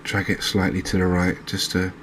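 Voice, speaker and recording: A man with an English accent giving soft-spoken ASMR game commentary in a hushed, unhurried, hesitant manner. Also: low voice, completely flat delivery, a little hiss or hum. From England. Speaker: gaming video host.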